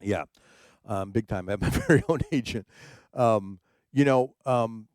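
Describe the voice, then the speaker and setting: A man's voice: fairly low pitch, measured pace, a little mellow, smooth, no echo. A man who works as a DJ, hosting a casual livestream chat from his home studio, rambling with many fillers and repeated words. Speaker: streaming DJ and show host.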